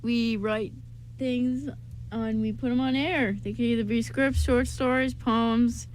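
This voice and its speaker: A woman's voice: fairly high pitch, steady tone, measured pace, a little hiss or hum, low-quality recording. A woman hosting a radio show in a casual, chatty style. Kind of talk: casual chat.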